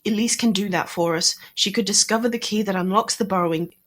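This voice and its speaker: A woman's voice: medium pitch, quick pace, lightly acted. A woman playing a young English agent, urgent and pleading in an argument, in an audio drama.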